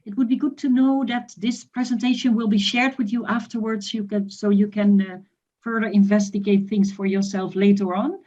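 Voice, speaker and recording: A woman's voice: medium pitch, fairly even intonation, normal speed, warm tone. A woman moderating a webinar in a polite, orderly hosting style. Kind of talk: polite hosting, handing over to speakers. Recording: slightly dull, lo-fi online audio.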